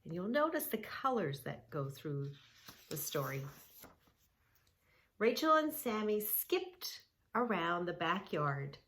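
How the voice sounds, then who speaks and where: Woman, children's read-along, yoga instruction, unhurried pace, slightly low pitch, encouraging, simple phrasing. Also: big pitch swings, fairly bright tone. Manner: simple, encouraging, addressing young children directly. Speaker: children's yoga teacher and story reader.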